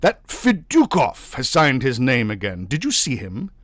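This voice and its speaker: A man playing a pompous, exasperated high official in a storybook reading, loud, indignant and imperious. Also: huge pitch swings, measured pace, dry recording, studio quality, heavily acted.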